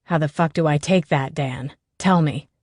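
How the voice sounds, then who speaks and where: A woman voicing a guarded, angry adult man in an audiobook, low and clipped. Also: normal speed, a little mellow, dry recording, clean studio sound. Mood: tense.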